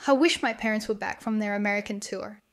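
A woman's voice: mid-range voice, fast talker, dry recording, close to an everyday voice. A woman playing a young student confiding a horror story to her video diary in a dramatic way. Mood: uneasy, obsessed. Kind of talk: first-person horror audio diary.

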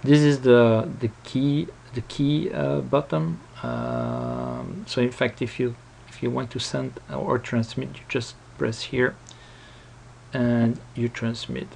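Man, tutorial presenter, hesitant, unhurried pace, vocal fry. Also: mid-range voice, animated, a little mellow, slight background noise. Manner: hesitant, halting explanation. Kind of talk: casual unscripted screen-recording walkthrough.

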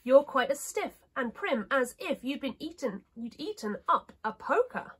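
A woman's voice: high-pitched, sing-song, a bit bright, husky, heavily acted. Storybook clown made of china woman performing: small jolly fellow, impudent, mocking, delivers rhymes with relish. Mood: saucy, teasing. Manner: saucy, drawn-out rhyming delivery. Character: saucy, jolly little china clown, male, cracked. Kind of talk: storybook character voice.

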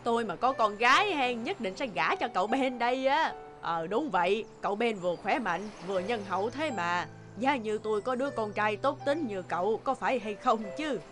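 A woman's voice: fairly high pitch, animated, normal speed, few pauses, close to an everyday voice. A woman voicing an admiring, chatty elderly villager in a cartoon dub. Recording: music bed behind the voice.

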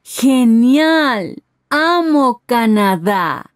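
A woman playing a friendly stranger in a scripted language lesson, pronouncing each phrase deliberately. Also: a little high, big pitch swings, no room sound, clean studio sound, full character voice. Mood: friendly, curious. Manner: deliberate, carefully enunciated. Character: friendly Peruvian woman chatting with a tourist. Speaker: voice actor in language lesson dialogues. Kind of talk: scripted learner dialogue, each phrase said slowly then at normal speed.

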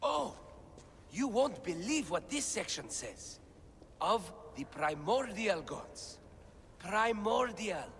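Here is an earnest young scholar of ancient things in a video game. His pitch sits a little high and swings with eagerness as he explains. The voice rings in a reverberant chamber. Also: sing-song, slow pace, fairly bright tone, a little hiss or hum, exaggerated voice. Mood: excited. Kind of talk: video game cutscene acting.

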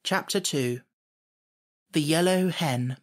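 A man's voice: a little high, slow. A man reading scripted storybook narration as an audiobook narrator.

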